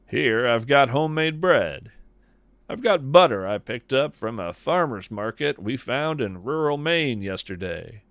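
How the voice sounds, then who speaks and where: A man playing a whimsical, gentle naturalist host, musing in a folksy, wry way through a scripted podcast monologue. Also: mid-range voice, dry recording, heavily acted. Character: whimsical, gentle naturalist host chatting over breakfast at home.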